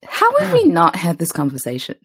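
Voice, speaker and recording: A young woman hosts a casual podcast chat in a low voice that swings widely in pitch. She talks expressively, laughs often and leans on fillers, with a husky tone. The sound is close and dry. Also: huge pitch swings, a little fast, a bit bright, no echo. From immigrant to New Zealand. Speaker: podcast host.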